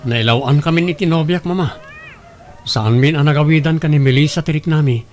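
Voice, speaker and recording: An actor plays a sullen young man, a grown son in an audio drama. His voice is high for a man, and he talks in a plaintive, complaining way. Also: fairly quick, natural voice. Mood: hesitant, sheepish. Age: young adult. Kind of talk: Ilocano audio drama acting.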